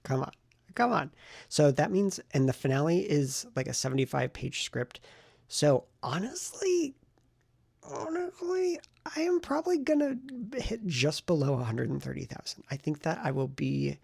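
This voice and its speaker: A man talking casually to camera in a vlog, rambling and thinking aloud in a self-reflective way, with a gravelly, husky voice. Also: on the high side, sing-song, moderate pace, a little mellow, no echo, studio quality. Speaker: writing vlogger and novelist.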